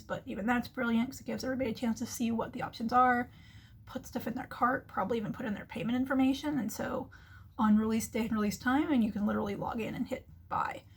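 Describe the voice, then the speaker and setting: A woman's voice: on the high side, steady tone, fast talker, creaky, breathy edge. A woman giving casual, chatty explanatory business commentary as the host, acting out little imagined remarks as she goes. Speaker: host of a marketing commentary show.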